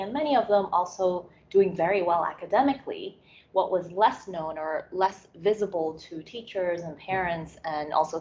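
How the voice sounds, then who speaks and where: A woman from Hong Kong talks through her slides in a measured lecture, her voice sitting a little low. She pauses often and fills gaps as she thinks. The recording sounds muffled and lo-fi. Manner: measured, many fillers and restarts. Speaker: academic speaker. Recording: muffled lo-fi audio.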